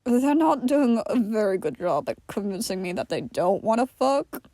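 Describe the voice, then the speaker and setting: A woman's voice: medium pitch, expressive, moderate pace, dark tone, no room sound, lightly acted. A woman voicing a cheeky, obnoxious man who talks in a teasing, flippant way in a story reading.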